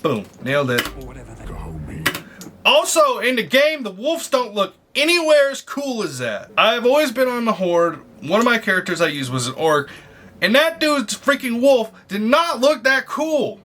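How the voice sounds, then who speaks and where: A man's voice: extremely high pitch, big pitch swings, measured pace, bright, forceful tone. A young man giving casual reaction commentary in an informal, slangy, exclaiming way as a video host.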